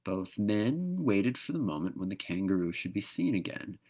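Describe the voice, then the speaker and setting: Man, low pitch, children's storybook narration, expressive read-aloud. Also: normal speed. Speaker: storybook reader.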